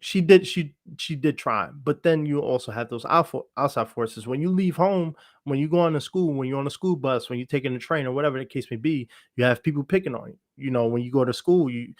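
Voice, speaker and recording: A man with a medium-pitched voice talks candidly as a podcast guest. He sounds self-assured and reflective, wandering a little as he tells his own story. The sound is dry, with little room echo. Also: a little fast, hardly any pauses. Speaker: podcast guest, actor and entertainer. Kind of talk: candid casual conversation, telling their own story. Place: online video call for a livestream podcast.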